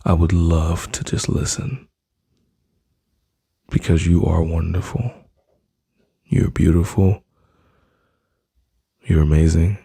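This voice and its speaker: A man with a deep, dark, husky voice talks slow and tender, close on the mic, coaxing the listener to breathe and relax. His words sink into breathy whispers in a flat, even line, with a gravelly edge throughout. The recording is dry and close. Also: no echo. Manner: soft, slow, soothing, intimate address to listener. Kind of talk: ASMR boyfriend roleplay.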